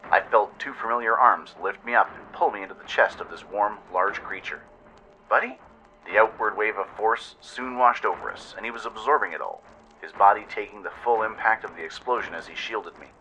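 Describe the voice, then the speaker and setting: A man's voice: fairly low pitch, brisk, bright, forceful tone, faint music behind, poor audio. A man narrating a scripted dramatic first-person story in radio-play style, with an intense, theatrical delivery.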